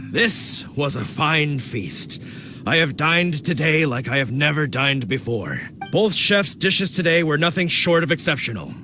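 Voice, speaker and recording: A man's voice: on the high side, lively intonation, takes it slowly, music in the background. A man voicing a grandiose, formal food judge in a video game, with theatrical delivery. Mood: solemn, grandiose. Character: grandiose male food judge, solemn and easily astonished.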